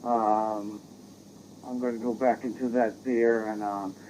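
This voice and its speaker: An American man chatting casually as an amateur radio operator, rambling and halting, heard through a digital radio transmission. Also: fairly low pitch, level tone, a little mellow. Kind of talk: casual radio contact chat. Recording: dull, noisy digital radio audio.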